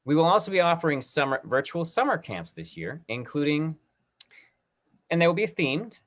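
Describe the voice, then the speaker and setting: Halting man hosting an online event. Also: on the high side, sing-song, a bit bright. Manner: halting, repeats himself. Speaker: host. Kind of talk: online talk-back hosting and announcements.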